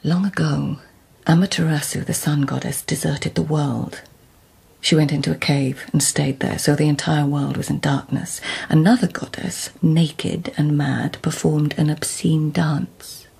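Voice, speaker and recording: Low, husky, proud court lady. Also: steady tone, measured pace, darkish tone, full character voice. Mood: measured. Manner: formal, crisp phrasing, dry. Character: cool, astute, proud lady-in-waiting at imperial court. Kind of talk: radio drama acting.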